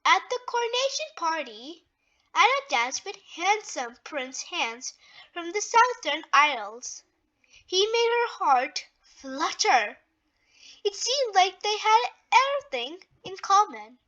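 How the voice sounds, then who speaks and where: A woman reading a children's story aloud in a deliberate, expressive way with emphatic phrasing. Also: extremely high pitch, dull audio.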